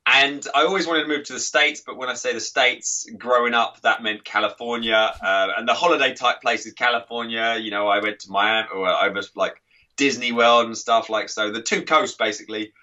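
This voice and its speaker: Chatty English man, bright and distant. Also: mid-range voice, fairly quick, muffled recording. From England. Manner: chatty, laughing, rambling with restarts. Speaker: podcast guest, author and coach. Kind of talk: casual interview chat.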